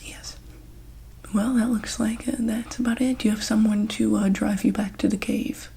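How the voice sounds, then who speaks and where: A woman playing a calm, caring monster-hospital doctor in an ASMR roleplay, speaking softly and hesitantly in a breathy whisper. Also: mid-range voice, normal speed. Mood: gentle, reassuring. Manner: hesitant, gentle, soft-spoken.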